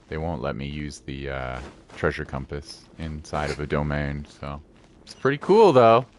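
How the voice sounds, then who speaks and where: Very deep-voiced man casually commenting on gameplay. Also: slight background noise. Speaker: gaming streamer.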